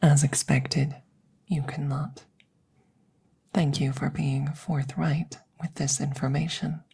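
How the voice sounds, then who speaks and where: A low, very slow female alien played by a woman speaks in a soft, often whispered ASMR delivery. Her tone is mellow and breathy, with some gravel in it. She is formal and clinical, a curious examiner choosing each word with care. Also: level tone, heavily acted. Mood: clinical, hushed. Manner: formal, clinical, precise, measured phrasing. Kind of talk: ASMR audio roleplay.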